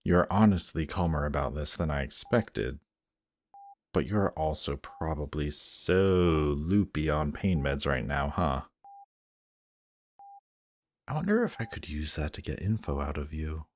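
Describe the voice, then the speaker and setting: A man's voice: extremely low pitch, expressive, measured pace, a little mellow, slight background noise. A man playing a smug, guarded supervillain in an audio roleplay, teasing and commanding, grudgingly protective of the person he is addressing. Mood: dry, condescending. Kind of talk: scripted roleplay audio drama addressed to the listener.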